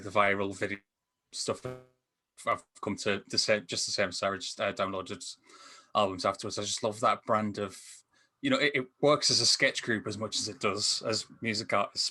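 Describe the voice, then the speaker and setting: Bright, low-pitched man in casual chat. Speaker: podcast panelist and film writer.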